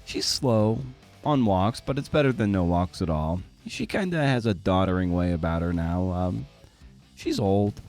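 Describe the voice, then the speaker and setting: A man's voice: sits low, darkish tone. A middle-aged man hosting a podcast, rambling casually with wry, self-deprecating, sometimes profane candor. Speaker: podcast host.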